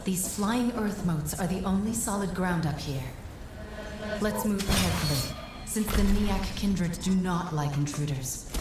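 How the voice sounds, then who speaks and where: A woman playing a grave, knowing advisor in a video game, speaking in a measured, serious, explanatory way. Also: on the low side, natural voice. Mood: calm, instructive. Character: grave, knowing female advisor guiding a warrior. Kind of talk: video game cutscene voice acting. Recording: echoing voice with music behind. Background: game music.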